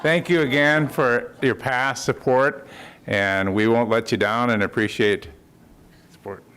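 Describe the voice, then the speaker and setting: A man's voice: medium pitch, steady tone, unhurried, fairly bright tone, a little hiss or hum. A man speaking before a city commission in a folksy, joking way, urging a yes vote. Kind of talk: public comment at a council meeting. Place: council chamber.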